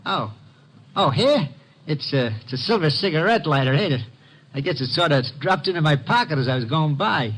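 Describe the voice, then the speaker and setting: An actor playing a nervous, stammering sneak thief with slangy, uneducated speech in an old radio detective drama. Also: male voice, fairly high pitch, expressive, moderate pace, a bit bright, slightly rough, exaggerated voice. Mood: nervous, evasive. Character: nervous, very tall small-time sneak thief. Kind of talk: 1940s-50s radio detective drama acting.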